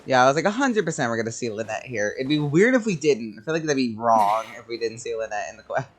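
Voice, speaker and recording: Chatty laid-back young gamer. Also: female voice, very deep voice, very animated, fast, a little hiss or hum. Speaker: gameplay streamer. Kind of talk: casual commentary over gameplay.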